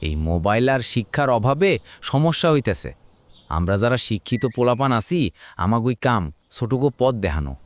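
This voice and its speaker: A man's voice: medium pitch, natural voice. Man playing an earnest young Bangladeshi villager. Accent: rural Bangladeshi. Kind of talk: cartoon drama dialogue.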